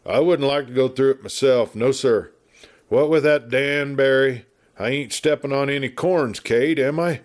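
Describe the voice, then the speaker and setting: A man's voice: mid-range voice, normal speed, pitched above the performer's own voice, natural voice. A man voicing a garrulous, good-natured but irascible frontier marshal in a storybook, with an American Western drawl and folksy, blustery chatter. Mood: jovial, chatty. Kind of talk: storybook character voice.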